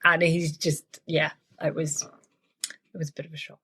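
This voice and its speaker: A woman's voice: deep voice, little pitch movement, moderate pace, bright, forceful tone, husky. A woman novelist talking about her writing in a casual interview, thoughtful and full of small restarts. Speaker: novelist guest.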